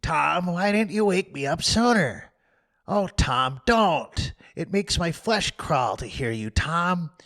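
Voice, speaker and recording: A man's voice: a little high, lively intonation, takes it slowly, a bit bright, pitched above the performer's own voice, full character voice. A man voicing a young boy who is anxious and pleading with his brother.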